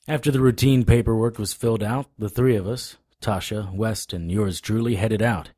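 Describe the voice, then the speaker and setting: A man's voice: on the low side, steady tone, moderate pace, mellow, dry recording, clean studio sound, close to an everyday voice. An actor playing a wry, sarcastic hard-boiled private investigator who narrates his own noir audio drama. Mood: wry narration. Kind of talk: noir radio drama with first-person narration.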